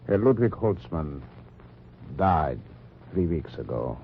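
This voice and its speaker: A guarded German resistance man in an old radio drama, German accent and clipped, wary speech. His low voice rises and falls widely. The recording is dull and lo-fi with a faint hiss. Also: big pitch swings, slow, vocal fry, exaggerated voice. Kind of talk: 1940s radio acting.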